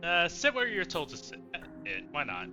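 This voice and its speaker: A man doing casual, playful let's play commentary, irreverent and quick to swear. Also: a little high, wildly swooping pitch, pushed and bright, soft background music. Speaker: game streamer.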